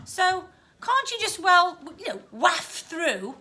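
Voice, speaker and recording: Posh English schoolgirl, played by a woman: very high, bright, prim and haughty, for a children's story. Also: very animated, takes it slowly, full character voice. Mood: defiant. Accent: posh upper-class English. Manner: prim, indignant. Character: posh, haughty young schoolgirl, indignant and defiant. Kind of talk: children's storybook read aloud.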